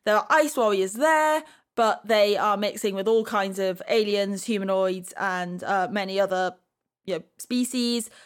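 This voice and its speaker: A woman's voice: mid-range voice, unhurried, smooth. A woman hosting a podcast, talking casually through a discussion. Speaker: podcast host.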